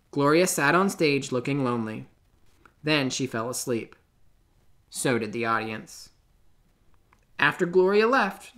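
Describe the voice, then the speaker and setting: Male storybook reader, children's read-aloud, expressive, very animated intonation, clear phrasing. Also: mid-range voice.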